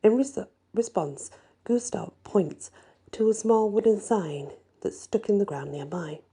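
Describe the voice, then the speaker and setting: A woman reads a first-person fantasy story in an ASMR style, her voice soft, husky and breathy. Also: medium pitch, expressive, a little slow. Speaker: audiobook-style story reader.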